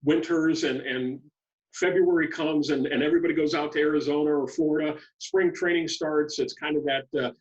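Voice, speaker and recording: A man's voice: a little high, steady tone, a little fast, dull audio. Male sports podcast interviewer, upper-register voice, slightly distant pickup, feels his way through questions.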